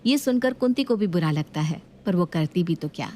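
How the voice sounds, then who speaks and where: A woman narrating a cartoon moral story in a steady, plain storytelling voice. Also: fairly low pitch, brisk, a little hiss or hum.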